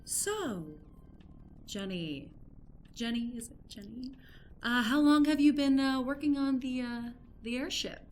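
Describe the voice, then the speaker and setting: A woman performs an amateur journalist in a comic radio play. She has a slightly high voice and sounds eager but awkward, hesitating as she politely pries. Faint music plays behind her. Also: unhurried, a little mellow. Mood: nosy, flustered. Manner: hesitant, self-conscious, polite and prying. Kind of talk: improvised comedic radio play acting.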